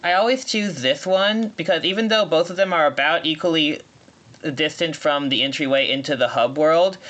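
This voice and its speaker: Halting man explaining gameplay, higher-pitched voice. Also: a bit bright. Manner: halting, explanatory, often rephrasing mid-sentence. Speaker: speedrunner playing at a marathon. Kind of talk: live gameplay commentary.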